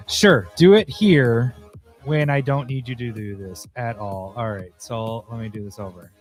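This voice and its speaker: A slightly hoarse man, losing his voice, talking casually through a livestream as host while he edits, thinking aloud and getting easily annoyed. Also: medium pitch, measured pace, pauses often. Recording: faint music behind voice.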